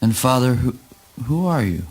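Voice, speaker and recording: A man playing a weary, awed traveler in a theatrical spoken word play, speaking haltingly. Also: mid-range voice, very animated, a little mellow. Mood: weary, questioning.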